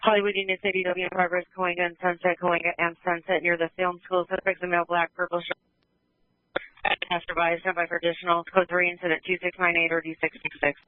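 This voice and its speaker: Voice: mid-range voice, flat delivery, fast talker, no echo, phone-quality sound. A police dispatcher giving clipped, procedural calls, heard over a police scanner radio. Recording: dry, band-limited police scanner radio.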